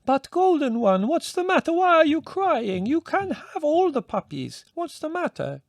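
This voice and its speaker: A man voicing an excitable old peasant woman with a shrill, breathless way of pleading, in a dry recording. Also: moderate pace, creaky, slightly rough, no room sound, heavily acted. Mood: distressed, pleading.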